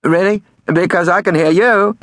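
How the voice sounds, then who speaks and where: A man voicing a cheeky young male prank caller from a storybook, with a Liverpool accent and mock-innocent, quick-fire questions. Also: high-pitched, fairly even intonation, fairly bright tone, dry recording, clean studio sound, voice pushed up. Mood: teasing. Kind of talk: acted storybook dialogue.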